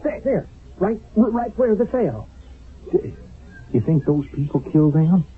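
An actor playing a talkative, excitable young American soldier with folksy grammar and a husky voice in a radio drama. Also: male voice, high voice, a little slow. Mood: agitated. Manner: colloquial, uneducated grammar, excitable. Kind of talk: 1970s radio drama acting. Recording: muffled narrow-band 1970s radio broadcast.

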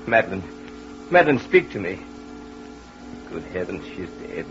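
An actor in a vintage radio melodrama playing a domineering, half-mad master who gives urgent, imperious orders. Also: male voice, deep voice, very animated, moderate pace, fairly bright tone, soft background music, full character voice. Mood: stricken. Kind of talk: 1940s radio melodrama acting. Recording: muffled, noisy old radio recording.

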